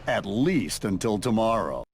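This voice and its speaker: A man's voice: lowish voice, very animated, unhurried, slight background noise. A man playing the dramatic narrator of a reality show in a video game, reading scripted narration. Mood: dramatic, steady.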